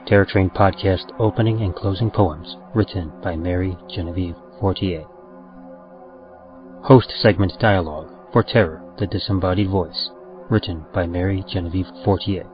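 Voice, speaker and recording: A man reading scripted credits as a podcast announcer, in a measured, formal way. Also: deep voice, steady tone. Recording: dull lo-fi sound under music.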